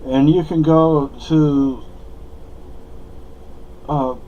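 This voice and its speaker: A man's voice: on the high side, steady tone, drawn-out words, dark tone, highs cut off, slight background noise. A man from Spain, a native Spanish speaker, giving a rambling, opinionated monologue with many fillers and restarts. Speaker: vlogger.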